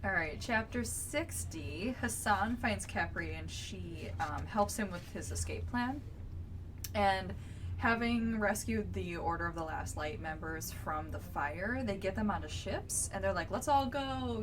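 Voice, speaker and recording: A woman's voice: medium pitch, noisy background. A woman co-hosting a book podcast, recapping casually in slangy, informal language and swearing freely. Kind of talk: casual read-along book discussion.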